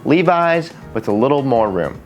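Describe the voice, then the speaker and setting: Lively bilingual young man explaining words. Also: medium pitch, moderate pace, faint music behind. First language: bilingual in Japanese and English. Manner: lively, animated delivery with playful asides. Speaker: language educator and video host. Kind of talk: scripted educational explainer.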